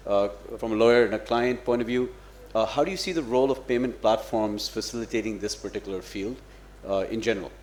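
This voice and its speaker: A man moderating a conference panel, asking questions in a measured, hesitant way. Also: fairly low pitch, darkish tone. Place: conference hall. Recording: echoey conference hall with faint noise.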